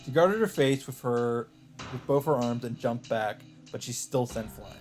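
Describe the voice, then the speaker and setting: A man with a mid-pitched voice reads visual novel narration aloud as the host of a let's play. His delivery is that of someone narrating a story from the screen. Faint game music plays behind him. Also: normal speed, darkish tone.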